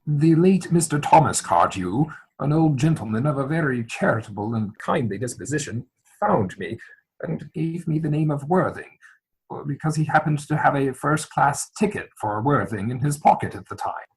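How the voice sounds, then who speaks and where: A man playing an earnest, anxious young gentleman suitor in a theatrical comedy reading, speaking with formal, hesitant politeness. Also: fairly high pitch, expressive, normal speed, breathy edge, rough recording. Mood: sheepish.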